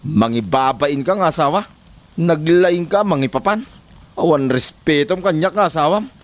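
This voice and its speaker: An actor playing an exasperated young husband in a comedy drama, complaining and reasoning. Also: male voice, a little high, expressive, moderate pace, close to an everyday voice. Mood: angry, fed up. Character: exasperated young husband fed up with his wife.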